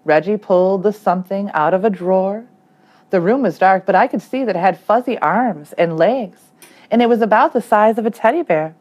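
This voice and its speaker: A woman's voice: mid-range voice, normal speed. A woman reading a children's story aloud as a teacher, expressively and acting out the dialogue. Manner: animated, acts out the dialogue.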